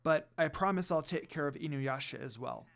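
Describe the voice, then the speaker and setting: A man's voice: fairly high pitch, normal speed, vocal fry. A man voicing a curious, kind young priestess with an earnest, questioning manner in a fan fiction reading. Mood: friendly, earnest.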